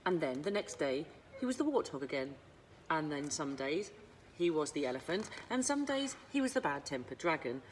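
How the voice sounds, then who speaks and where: Woman storyteller, lower-pitched, lively intonation and dramatic emphasis, children's book narration, slight background noise. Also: moderate pace, low-quality recording. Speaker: school librarian reading aloud.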